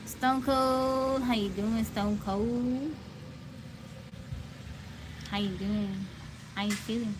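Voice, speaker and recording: A woman's voice: on the high side, fairly even intonation, very slow, long pauses, noisy background. An American woman hosting a casual livestream, greeting viewers by name and chatting about being hungry and jittery. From United States. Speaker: livestream host. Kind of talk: casual live chat with viewers.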